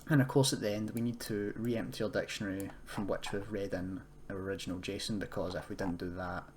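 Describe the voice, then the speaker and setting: A young man with a fairly low voice walks through a screen-recorded tutorial. He is informal and hesitant, correcting himself as he explains. There is noticeable noise and keyboard typing behind him. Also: steady tone, a little fast. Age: young adult. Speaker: tutorial presenter, university student. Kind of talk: casual screen-recorded coding tutorial, unscripted. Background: computer keyboard typing and noise.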